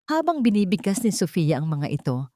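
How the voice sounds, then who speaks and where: A woman's voice: fairly low pitch, very animated, a little fast, warm tone, no echo, clean studio sound. A woman narrator reading a scripted story in a dramatic style.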